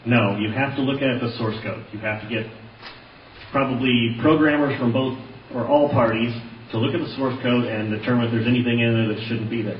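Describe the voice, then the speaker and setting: A man's voice: mid-range voice, fairly even intonation, a little fast, far from the mic. An American man giving testimony as a witness, answering in a plain, matter-of-fact way. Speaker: witness, computer programmer. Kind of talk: sworn testimony in answer to questions. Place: large indoor hearing room. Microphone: hearing microphone, at some distance. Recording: roomy, off-mic, dull, faintly noisy hearing audio.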